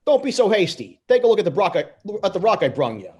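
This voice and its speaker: A man voicing an excitable, streetwise hustler in a comic script reading, loud and exclamatory. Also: high voice, quick pace, pitched above the performer's own voice. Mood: cajoling.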